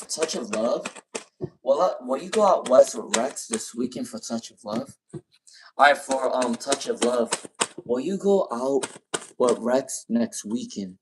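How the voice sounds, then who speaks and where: A man's voice: mid-range voice, noisy background. A man with a non-native accent and a speech impairment, giving live tarot readings. He repeats names and questions over and over as he pulls cards. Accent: non-native English. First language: not English. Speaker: tarot reader.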